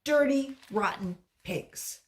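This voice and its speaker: A woman's voice: high-pitched, animated, slow pace, pitched above the performer's own voice. Woman putting on a rude, sneering schoolboy. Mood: nasty. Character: rude, nasty, defiant new schoolboy.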